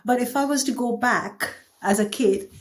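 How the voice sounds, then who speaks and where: A woman's voice: on the high side, normal speed. A woman from India, speaking as a podcast guest in a thoughtful, candid conversational manner. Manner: thoughtful, candid, light laughs. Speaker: podcast guest, agency co-founder. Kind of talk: reflective conversational interview.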